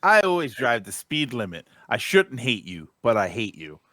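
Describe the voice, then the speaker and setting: A man's voice: medium pitch, very animated, moderate pace, bright, forceful tone. A man co-hosting a livestream, talking in casual comic banter. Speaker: livestream co-host.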